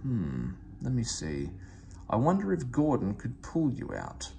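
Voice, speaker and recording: A man voicing a stern, portly railway boss in a storybook, authoritative and deliberate. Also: mid-range voice, wildly swooping pitch, gravelly, mellow, a little hiss or hum, full character voice. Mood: stern, considering.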